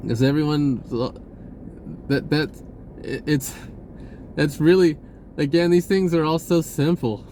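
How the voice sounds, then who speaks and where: A man giving a rambling, informal spiritual monologue in a halting, searching way, often repeating words and slipping into laid-back slang. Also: a little high, fairly even intonation, darkish tone, a little hiss or hum. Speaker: spiritual vlogger.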